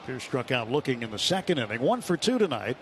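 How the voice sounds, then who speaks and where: A man calling baseball play-by-play live on the radio in a measured, descriptive way. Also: medium pitch, sing-song, a bit bright. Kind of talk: live sports commentary. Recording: clear stadium noise behind live broadcast. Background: outdoor ballpark ambience.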